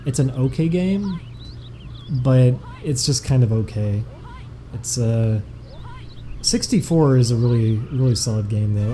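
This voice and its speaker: A young man chatting casually on a game stream, reading out donation messages in a relaxed, meandering way. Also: medium pitch, slight background noise.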